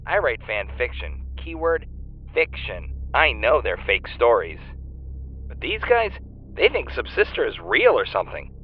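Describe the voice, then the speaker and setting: Over a narrow, tinny phone connection comes an earnest male superfan. He is talkative and his mid-range pitch moves around in a lively way. It is naturalistic audio drama. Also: a little slow, slight background noise. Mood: wary, emphatic. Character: earnest, talkative male superfan who writes fan fiction. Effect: phone call filter. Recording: thin, band-limited phone line.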